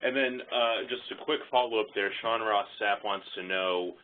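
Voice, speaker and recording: A man's voice: lowish voice, moderate pace. A man moderating a press conference call, relaying questions sent in by journalists. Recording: noisy, tinny phone line with clicks.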